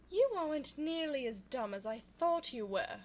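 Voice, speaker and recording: Woman playing a high, slow-talking seductive vampire lady. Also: a little mellow. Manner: theatrical, coy, taunting, breathy asides. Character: evil seductive immortal female vampire, sly and possessive. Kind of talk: ASMR audio roleplay. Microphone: close binaural 3Dio microphone. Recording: faint background noise, close binaural mic.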